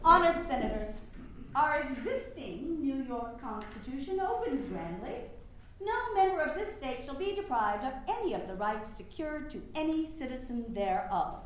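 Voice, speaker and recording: Woman acting a high-voiced, eloquent campaigner, echoey. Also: very animated, normal speed. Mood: oratorical, sardonic. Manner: formal, rhetorical. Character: educated, eloquent, sardonic women's rights campaigner. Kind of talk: staged play reading, oratorical. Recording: echoey room, distant mic, faint noise.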